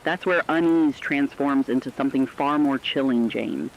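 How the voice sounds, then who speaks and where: A man playing a grave radio co-anchor, reading a scripted report in a formal, ominous, deliberate way. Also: mid-range voice, close to an everyday voice. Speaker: news co-anchor. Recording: dull lo-fi broadcast with faint rain noise.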